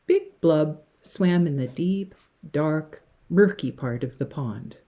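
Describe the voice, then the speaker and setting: Woman, children's read-aloud, slow pacing, very animated intonation, dramatic, hushed suspenseful moments. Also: low-pitched. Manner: dramatic, with hushed passages and emphatic words. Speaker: teacher reading a picture book to young children.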